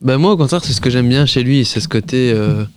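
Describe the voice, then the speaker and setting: A man's voice: medium pitch, brisk, clear, resonant tone, no room sound. A man co-hosting a casual radio chat, talking hesitantly with many fillers. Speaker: radio show co-host.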